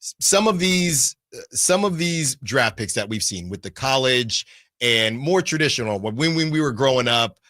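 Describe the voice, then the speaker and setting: A man in his forties hosting a sports talk radio show, animated and rambling, with frequent restarts as he works through a point. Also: medium pitch, normal speed, no echo, clean studio sound. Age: 40s.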